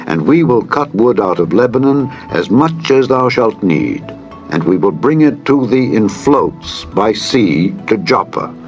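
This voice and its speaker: Man voicing a dignified, stately king, fairly low-pitched. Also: animated, a little slow, dull audio, faint music behind. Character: dignified king dictating a formal royal letter.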